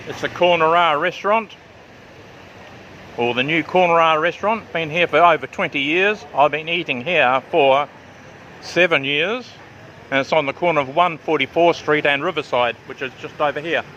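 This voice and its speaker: A man's voice: fairly high pitch, moderate pace, pushed and bright, highs cut off, slight background noise. A man from New Zealand with a New Zealand and Australian accent, chatting casually through a restaurant tour as a travel vlogger, repeating himself for emphasis. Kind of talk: casual restaurant walkthrough vlog. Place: outdoor riverside cafe with traffic nearby.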